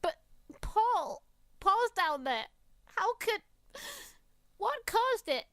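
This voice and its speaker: A young frontier heroine acted by a woman pleads in a very high, bright voice. She is distraught yet determined, with the urgent emotion of an old western radio play. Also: big pitch swings, very slow, dry recording, clean studio sound, heavily acted. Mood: distressed, pleading. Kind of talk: old-time western radio drama acting.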